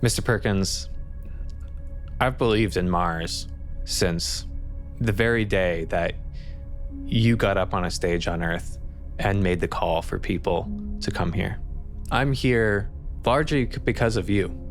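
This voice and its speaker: A man playing an earnest, uneasy woman reporter in improvised tabletop roleplay, speaking haltingly and repeating words. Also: deep voice, soft background music. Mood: earnest, hesitant. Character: earnest, uneasy young woman reporter, idealistic but doubting.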